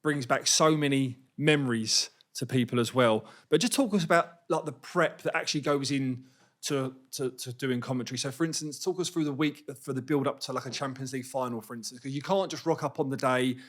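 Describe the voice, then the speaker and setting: A man's voice: medium pitch, fairly quick. A man hosting and interviewing in a casual, chatty style. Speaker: podcast host, interviewer. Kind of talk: casual chat.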